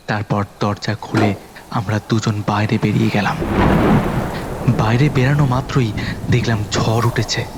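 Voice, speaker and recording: A man narrating a horror audio story in the first person, with a suspenseful, dramatic delivery. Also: fairly low pitch. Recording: voice over background music.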